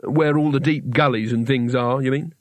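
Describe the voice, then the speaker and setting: An anxious, cynical wizard voiced by a male storybook reader talks in a medium-pitched voice. He is hesitant and overly polite, with a sardonic undertone and a dry, close sound. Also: steady tone, normal speed, dry recording, natural voice. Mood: bitter, uneasy.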